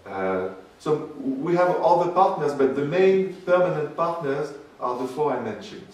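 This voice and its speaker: Halting man in an echoey room. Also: a little high, animated. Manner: halting, with frequent fillers and restarts. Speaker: guest lecturer. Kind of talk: public lecture. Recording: roomy, distant mic with faint noise.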